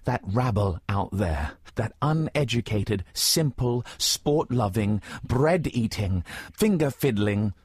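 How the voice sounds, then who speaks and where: A man's voice: on the low side, breathy edge, no room sound, clean studio sound, full character voice. A man voicing a sycophantic, snobbish confidant who rambles pedantically in an improvised comic radio play. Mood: earnest flattery. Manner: flattering, halting, pedantic.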